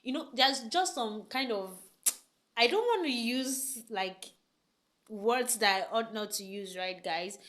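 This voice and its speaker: A woman's voice: a little high, slow pace, fairly bright tone. A young woman giving relationship advice to camera in a chatty, emphatic way, full of rhetorical questions. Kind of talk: casual talk to camera.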